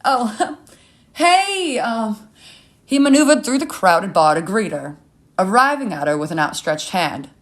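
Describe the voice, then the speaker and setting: A woman's voice: on the low side, big pitch swings, moderate pace. A woman reading a scripted short story as its narrator.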